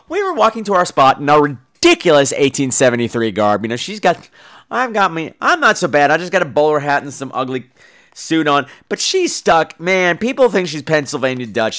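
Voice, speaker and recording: Animated high-pitched American man telling comic stories. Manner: theatrical, campy, profane, acts out dialogue. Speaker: podcast host. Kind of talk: comic personal storytelling.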